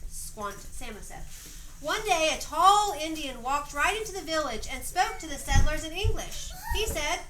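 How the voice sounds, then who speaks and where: A woman's voice: sits high, big pitch swings, normal speed, pushed and bright. A woman reading a story aloud as narrator of a homemade family play, prompting others with off-the-cuff directions. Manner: coaching, prompting others to repeat lines. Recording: roomy, noisy amateur home recording.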